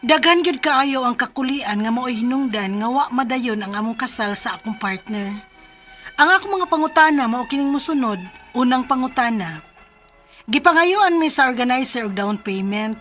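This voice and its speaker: High-pitched distressed young Filipina bride-to-be. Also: female voice, normal speed, a bit bright, soft background music. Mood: troubled narration. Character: distressed young bride-to-be whose wedding falls apart. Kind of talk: Filipino radio drama acting.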